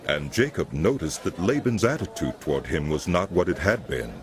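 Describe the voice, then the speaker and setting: A man's voice: deep voice, lively intonation, moderate pace. A man giving scripted narration for a dramatized audio Bible.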